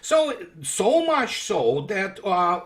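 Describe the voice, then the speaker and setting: High-voiced man with a sarcastic rant. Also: sing-song, extremely slow, fairly bright tone. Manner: sarcastic, emphatic, many fillers and restarts. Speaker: political commentator. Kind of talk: unscripted monologue commentary.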